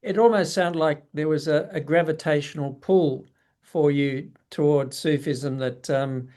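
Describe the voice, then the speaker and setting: A man's voice: a little high, a little slow, a little mellow. A man hosting an interview over a video call, introducing the guest and asking questions with frequent fillers and restarts. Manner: friendly, hesitant with many fillers. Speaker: host.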